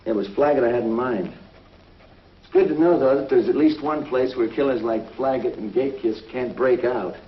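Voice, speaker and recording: A man's voice: medium pitch, normal speed, slight background noise, lightly acted. An actor playing a brave, protective male space pilot in a 1950s TV drama, firm and earnest. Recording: roomy, distant, lo-fi 1950s TV audio.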